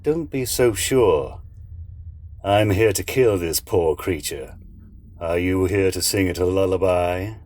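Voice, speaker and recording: An actor in an audio drama playing a cold-blooded, condescending villain with a formal, mocking, deliberate manner. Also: male voice, low-pitched, darkish tone.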